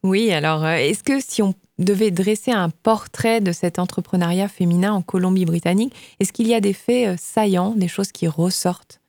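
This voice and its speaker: A woman interviewer asking clear, measured questions of her guest. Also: mid-range voice, darkish tone, dry recording, studio quality. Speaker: radio interviewer.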